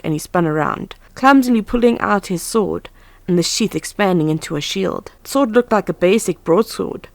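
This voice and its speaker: A woman's voice: on the low side, dry recording, lightly acted. A woman playing an earnest, anxious but composed young huntress in a fan audio drama. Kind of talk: fan audio drama, first-person narration and dialogue. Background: background music.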